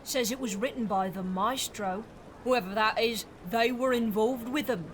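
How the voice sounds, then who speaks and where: A woman plays a cheeky street kid, plucky and sure of their sleuthing. The voice is high and youthful, in audio drama style, with faint ambience behind it. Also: normal speed, slight background noise, close to an everyday voice. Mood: keen.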